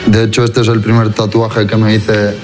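A man's voice: fairly low pitch, completely flat delivery, normal speed, music in the background. A young man who plays football, answering interview questions earnestly and hesitantly, often restarting his phrases. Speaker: football goalkeeper.

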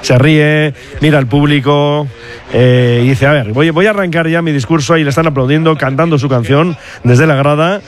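A man's voice: on the high side, moderate pace. A man doing live sports commentary, describing the scene for listeners.

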